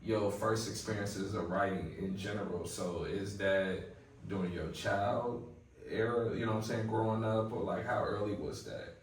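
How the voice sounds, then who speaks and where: A man's voice: lowish voice, steady tone, moderate pace. A man hosting an interview, asking questions in a loose, conversational way with many fillers. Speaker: podcast host. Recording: very echoey room, voice from far off.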